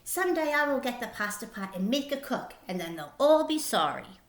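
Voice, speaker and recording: A woman voicing an eager, foolish and boastful young village man in a storybook, excitable and declaiming. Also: fairly high pitch, moderate pace, reverberant. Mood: defiant scheming.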